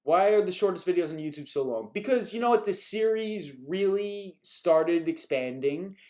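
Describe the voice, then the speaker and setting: A man's voice: high-pitched, animated, normal speed, darkish tone. A man from New York chatting casually on a live stream, answering viewer questions in a rambling, chuckling way, a bit exasperated at repeat questions.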